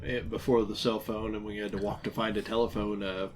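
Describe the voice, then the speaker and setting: A man's voice: fairly low pitch, level tone, fairly quick. A man co-hosting a casual chat about old gadgets, talking in a folksy, plain-spoken way. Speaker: co-host of an old tech show. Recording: clear background noise, room ambience.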